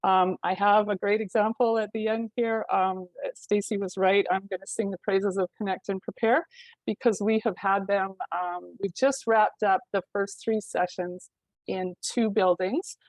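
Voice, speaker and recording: A woman's voice: mid-range voice, steady tone, measured pace, no room sound, low-quality recording. Casual woman on a dry video call. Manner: casual, lots of ums and likes. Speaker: housing community worker. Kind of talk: webinar presentation.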